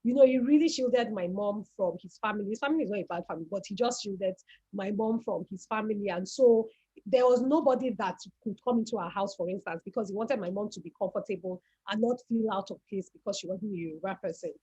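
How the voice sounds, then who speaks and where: Husky Nigerian woman telling her family story. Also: medium pitch, fast, mellow. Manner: reflective, repeats words while searching for them. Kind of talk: personal storytelling on an online talk. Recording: dull lo-fi call audio.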